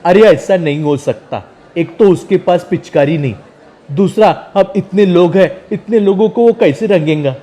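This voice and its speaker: A man's voice: high-pitched, moderate pace, warm tone. A man voicing a mischievous, taunting gang ringleader with a brash, teasing manner.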